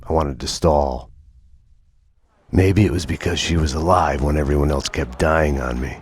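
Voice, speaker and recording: An actor playing a haunted, world-weary police detective, narrating a noir game in a brooding deadpan. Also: male voice, extremely low pitch, normal speed, slight background noise. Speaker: narrator and lead detective character. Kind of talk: hard-boiled noir scripted narration. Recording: dramatic music under the voice.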